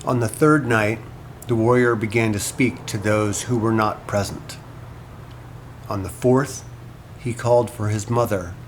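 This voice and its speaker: An actor playing a classics lecturer in an audio drama, talking and reading in a measured, unshowy way. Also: male voice, lowish voice, a little hiss or hum, rough recording. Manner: casual and halting when talking, solemn when reading. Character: hesitant male classics lecturer reading an ancient epic aloud. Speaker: university lecturer.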